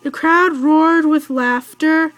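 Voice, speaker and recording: A young girl reads a children's story aloud in a high voice. She goes slowly and haltingly, tripping on words and fixing them. The sound is close and dry. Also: steady tone, a bit bright, dry recording. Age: child or teen, in school. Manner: halting, stumbles over words and corrects herself. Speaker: read-aloud narrator.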